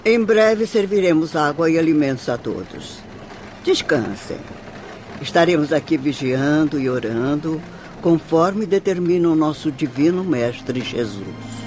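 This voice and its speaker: A man acting a gentle, pious caretaker of souls, soothing and measured, in a radio drama. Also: on the high side, lively intonation, a little hiss or hum. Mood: soothing authority.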